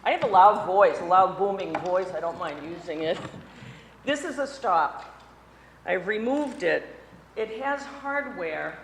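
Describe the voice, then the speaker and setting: A workshop instructor walks through a practical demonstration, naming each part and working with tools, joking at their own expense now and then. The voice sits at a middle pitch and carries a long hall reverb with faint background noise, recorded live in the room as the speaker moves about. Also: unhurried. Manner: practical, step-by-step explaining while handling tools; chatty with self-deprecating asides. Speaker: window restoration instructor. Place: indoor workshop room before an audience.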